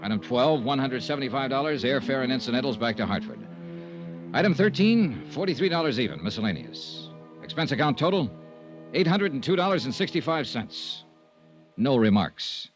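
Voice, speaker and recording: An American actor playing a steady, hard-boiled insurance investigator in a vintage radio noir drama. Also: male voice, mid-range voice, normal speed, soft background music, close to an everyday voice. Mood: dry, matter-of-fact. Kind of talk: 1950s radio noir acting and narration.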